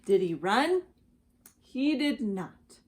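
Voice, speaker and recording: A woman with an American accent narrating a children's storybook with deliberate phrasing and dramatic pauses. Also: fairly high pitch, big pitch swings, slightly rough. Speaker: children's ministry director reading a storybook.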